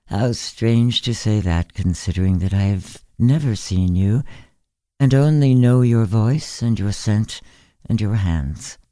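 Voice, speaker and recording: A woman reading the part of an ancient, frail, blind woman in an audiobook story, measured, polite and composed. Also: extremely low pitch, mellow, no echo. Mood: dispassionate, reflective.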